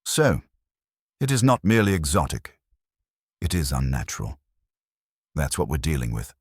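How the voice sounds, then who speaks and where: A terse, imperious old detective, read by a man, gives orders in a few clipped words. The voice sits extremely deep but moves lively through its range. The sound is close and dry, with no room around it. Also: moderate pace, slightly rough, no room sound, full character voice. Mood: curt, disdainful. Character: imperious heavyset older male detective.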